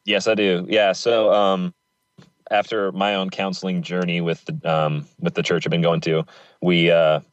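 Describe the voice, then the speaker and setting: Man, disguised voice, extremely deep, earnest, hesitant, muffled sound. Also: little pitch movement, moderate pace, no room sound. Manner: earnest, hesitant with many ums. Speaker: podcast guest. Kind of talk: casual testimony.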